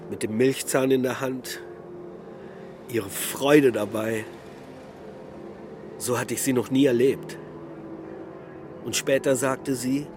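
A man's voice: medium pitch, close to an everyday voice. An actor playing a melancholic middle-aged husband and father in a naturalistic radio drama, musing reflectively in short sentences. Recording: loud urban traffic ambience.

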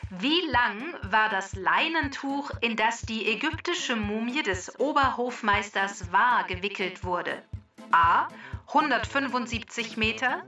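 A woman reading scripted quiz questions and facts for a children's podcast in a measured, formal delivery. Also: on the high side, animated, sharp tone, recorded from a distance, soft background music.

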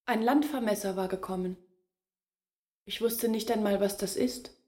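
An actress playing a grave woman recalling her family's hopeless years in a measured radio drama monologue. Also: mid-range voice, darkish tone, natural voice.

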